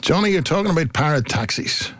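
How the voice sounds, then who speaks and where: A man's voice: fairly high pitch, lively intonation, brisk, a bit bright, no room sound. A middle-aged man with a Belfast accent chatting casually as a host, reading out messages and telling jokey anecdotes, acting out what people said. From Northern Ireland. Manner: jokey, acting out reported remarks. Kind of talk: casual comic chat and anecdotes.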